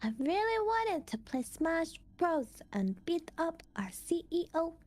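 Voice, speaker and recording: A giggly woman streamer chatting casually and telling stories in an animated, playful way. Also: extremely high pitch, a little slow. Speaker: streamer, VTuber.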